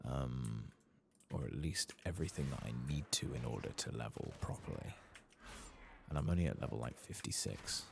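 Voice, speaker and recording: A British man with an extremely low voice, thick with creak and fry, speaks softly as he plays a game in ASMR style. He rambles in a low-key, musing way, close to the mic. A faint noise sits behind him. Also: animated, normal speed. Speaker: gaming streamer, ASMR host. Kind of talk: soft-spoken ASMR game commentary, partly whispered.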